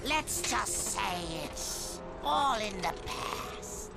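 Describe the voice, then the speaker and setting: A man's voice: extremely high pitch, lively intonation, drawn-out words, gravelly, exaggerated voice. A man voicing an egomaniacal robot mad scientist in a video game, with theatrical, ranting delivery and sudden shrieks. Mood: grandiose. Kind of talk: animated video game villain acting. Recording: loud music under the voice.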